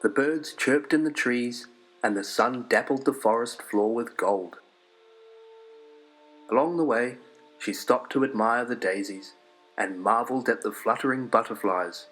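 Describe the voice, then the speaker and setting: A man's voice: medium pitch, fairly bright tone, poor audio. A man reading fairy tales aloud as a scripted storyteller. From New Zealand. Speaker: storyteller, narrator. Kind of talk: scripted fairy-tale read-aloud narration. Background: music.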